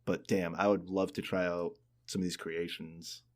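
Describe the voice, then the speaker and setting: Low-voiced man, hesitant casual talker. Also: little pitch movement, normal speed. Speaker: podcast co-host. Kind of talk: casual gaming chat.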